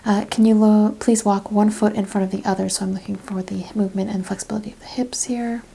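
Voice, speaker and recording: Woman, mellow soft ASMR voice, gentle clinician instructions, slips into whispers, faint background noise. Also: mid-range voice, little pitch movement, fast, gravelly. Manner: gentle clinical questioning and step-by-step instructions, often dropping to a whisper. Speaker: ASMR practitioner in a chiropractic roleplay. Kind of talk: soft-spoken ASMR roleplay.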